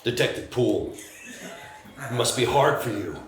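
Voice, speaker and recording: A man's voice: mid-range voice, animated, moderate pace, close to an everyday voice. A man voicing a cruel, taunting demonic doppelgänger in a live screenplay table read. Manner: menacing, taunting. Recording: echoey room, clear background noise.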